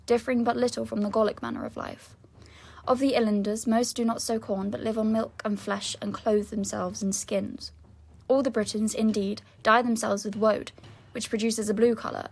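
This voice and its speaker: A young woman reads a historical account aloud as a student reader. Her delivery is formal and measured, shaped as a dramatic reading. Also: mid-range voice. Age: young adult.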